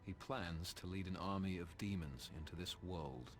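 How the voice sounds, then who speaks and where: An actor playing a cynical, cocky English vampire in a video game cutscene, sardonic and full of slang. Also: male voice, low-pitched, moderate pace, slight background noise, natural voice. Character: cynical, cocky British male vampire, roguish reluctant ally.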